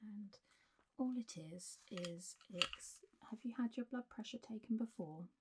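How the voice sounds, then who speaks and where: Soft spoken lady acting as a calm doctor for an asmr roleplay, gentle, reassuring, slips into whispering, normal mid pitch. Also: measured pace, background noise, close to an everyday voice. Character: calm, reassuring female clinic doctor for zombie patients. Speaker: ASMR performer.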